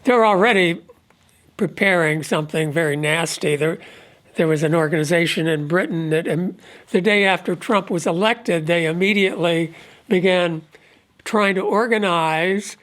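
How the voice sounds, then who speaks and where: A man with a head cold and a stuffed-up voice, giving an unscripted religious commentary at a measured, halting pace, pausing often. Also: high-pitched, fairly bright tone. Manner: measured, halting delivery with frequent pauses. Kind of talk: unscripted monologue on prophecy.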